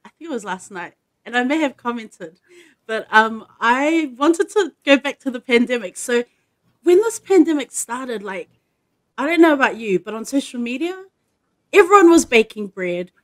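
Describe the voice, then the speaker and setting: A woman's voice: a little high, sing-song, normal speed, a bit bright. A woman, hosting a casual video-call interview with upbeat questions. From New Zealand. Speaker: host. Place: home room with dark curtain, indoors.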